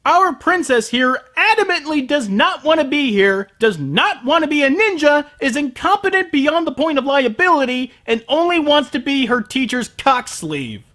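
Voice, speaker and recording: Animated, sarcastic male reviewer. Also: extremely high pitch, normal speed, few pauses. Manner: sarcastic, exasperated, profane. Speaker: host of an anime review show. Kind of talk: scripted comedic review narration.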